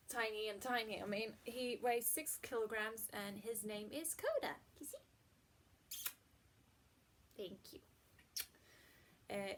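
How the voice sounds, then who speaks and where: A Swedish woman in her twenties, a native Swedish speaker, chatting casually to camera in her home hallway, with soft, half-whispered asides. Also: fairly high pitch, slight background noise. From Sweden. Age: 20s. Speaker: vlogger. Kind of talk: casual vlog talk to camera.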